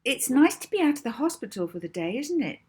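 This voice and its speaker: Woman voicing a confident, higher-pitched young girl. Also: sing-song, darkish tone, slight background noise. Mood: kindly. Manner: firm, deliberate, instructing. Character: confident young girl giving hypnotic commands. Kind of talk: children's audiobook character voice.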